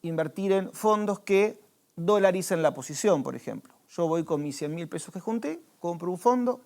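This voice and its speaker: A man's voice: high voice, expressive, moderate pace, vocal fry, a little mellow. A man with an Argentine Spanish accent, a financial adviser patiently explaining savings with examples and some hesitant restarts. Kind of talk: explanatory conversation.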